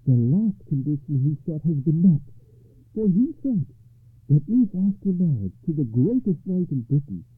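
Storybook enchanted monster bride played by a man: high-pitched, stately, bold and knowing, husky. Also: very animated, moderate pace, no room sound, heavily acted. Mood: joyful, solemn. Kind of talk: storytelling character voice. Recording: muffled phone-like narrow-band sound.

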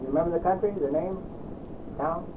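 Fast-talking probing male interviewer. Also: a little high. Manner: pressing for details, repeating answers. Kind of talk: oral history interview. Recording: tinny old tape with faint hiss.

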